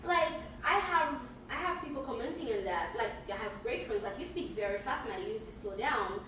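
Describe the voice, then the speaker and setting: Fast-talking woman, high voice, echoey room. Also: expressive, fairly bright tone, far from the mic, a little hiss or hum. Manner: fast talker, stammers and restarts. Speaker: podcast co-host. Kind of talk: casual chat.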